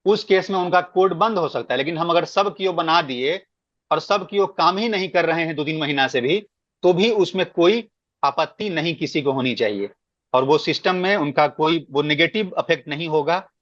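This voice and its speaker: Man, emphatic meeting explainer, slightly high pitch, lo-fi dry conference audio. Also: normal speed, a bit bright, dry recording. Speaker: meeting speaker giving guidance. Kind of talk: explanatory work meeting talk. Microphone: online conference call. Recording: dull, lo-fi conference call.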